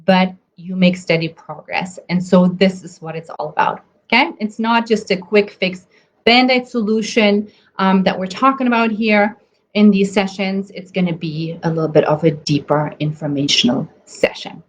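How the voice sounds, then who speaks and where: A woman's voice: mid-range voice, moderate pace. Woman hosting a chatty livestream. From Germany. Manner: chatty, many ums and restarts. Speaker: nutrition coach hosting a livestream. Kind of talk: casual live stream teaching. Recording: slightly dull audio with faint noise.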